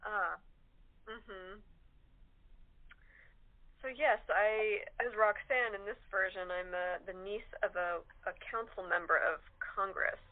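A woman's voice: mid-range voice, steady tone, slow pace, long pauses. A woman actor giving a casual, informal interview as a guest. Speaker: actress being interviewed as guest. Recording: tinny phone line.

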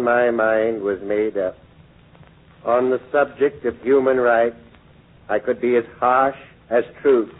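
An actor playing a stern abolitionist who recounts events in measured, solemn oratory for a 1940s radio drama. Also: male voice, medium pitch. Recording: dull old radio broadcast recording.

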